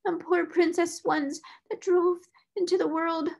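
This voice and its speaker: A woman's voice: extremely high pitch, flat delivery, unhurried, creaky, a little mellow, voice pushed up, full character voice. A woman voicing a timid, tearful young scullery maid who stammers and pleads in humble, uneducated servant speech for a storybook. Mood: wailing.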